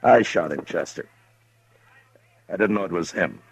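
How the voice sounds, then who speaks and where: An actor playing a stern, terse frontier marshal in a 1950s radio western. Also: male voice, sits low, lively intonation, moderate pace, slightly rough, heavily acted. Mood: grim. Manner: terse, commanding, grave. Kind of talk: 1950s radio drama acting. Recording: muffled vintage radio recording.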